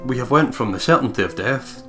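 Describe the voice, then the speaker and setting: A man's voice: medium pitch, expressive, a little fast. A man co-commentating on a game in casual, joking, sweary banter. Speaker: let's play co-commentator. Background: game music.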